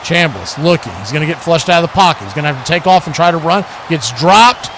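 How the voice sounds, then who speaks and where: A man's voice: a little high, expressive, fast, a bit bright. An American man giving live play-by-play commentary of a football game as the host of a stream. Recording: clear background noise.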